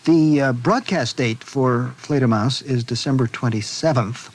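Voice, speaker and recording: A man hosting a radio interview, asking lively, teasing questions. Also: mid-range voice, a little slow, slight background noise.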